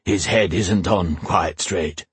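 Storybook armoured knight, voiced by a man: gruff, deep-set, very raspy, dry and honourable. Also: slow pace, dry recording, heavily acted. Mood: protective, grumbling. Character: gruff armoured male knight, loyal, dry-witted lover.

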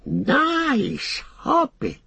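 Casting: a wretched storybook creature, fawning and tormented, high raspy whine, wildly swooping pitch, slow drawn-out words. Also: male voice, wildly swooping pitch, bright, forceful tone, no room sound, heavily acted. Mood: fawning, wheedling. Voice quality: whining, strained, raspy. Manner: hissing repetitions, whimpering, sudden shrieks. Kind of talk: dramatised audiobook character voice.

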